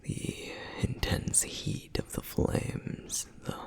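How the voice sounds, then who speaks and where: Guy doing a slow whispery flame demon, gentle asmr storyteller, reverent, husky, big echoey hall. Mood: reverent, grateful. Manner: measured, reverent, gently explaining, pausing often. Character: male flame demon, protective, reverent, softly flirtatious lore guide. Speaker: audio roleplay performer. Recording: long reverberant hall echo.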